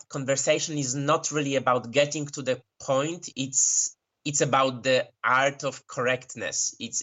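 Slow-talking Polish-accented man, muffled. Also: medium pitch, fairly bright tone. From Poland. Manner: thoughtful, frequent pauses and self-corrections. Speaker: interview guest who works with migrants. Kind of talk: reflective interview answers. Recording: dull lo-fi recording.

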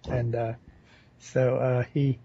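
An American man from southern Louisiana, speaking as an interviewee in a casual, halting, rambling and informal way. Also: mid-range voice, flat delivery, warm tone, slightly rough. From southern Louisiana, United States. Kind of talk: casual spoken recollection. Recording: slightly dull, lo-fi interview recording.

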